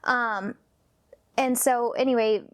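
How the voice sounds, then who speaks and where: Brief: woman, 30s, a touch high, nervous and hesitant, confessional vlog. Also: steady tone. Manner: halting, many pauses and sighs, sometimes drops to a whisper. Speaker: vlogger. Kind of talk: personal confessional talk.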